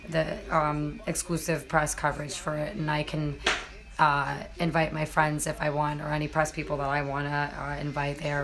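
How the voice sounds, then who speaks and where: A slightly hoarse woman hosting a casual live stream about fights, chatting to viewers with lots of fillers. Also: low-pitched. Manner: chatty, many fillers, addresses viewers directly. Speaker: MMA journalist and live-stream host.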